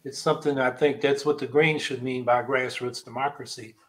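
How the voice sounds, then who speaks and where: A man's voice: medium pitch, fairly even intonation, fairly quick, fairly bright tone, slight background noise. An older man hosting a podcast, interviewing a guest over a video call, engaged and thoughtful in his questions. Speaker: podcast host. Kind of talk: casual interview on a livestream. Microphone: video call webcam.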